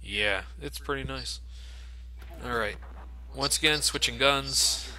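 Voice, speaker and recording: A young man giving relaxed, casual commentary over a game, swearing freely and laughing often. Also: mid-range voice, slow, low-quality recording. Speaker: let's play commentator. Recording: game gunfire noise behind. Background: game gunfire and ambience.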